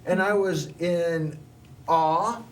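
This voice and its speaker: Rambling storyteller man, high voice, distant mic. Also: fairly even intonation, very slow. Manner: rambling, with frequent restarts and laughs. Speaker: show host telling a personal story. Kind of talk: casual storytelling chat. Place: small local TV studio.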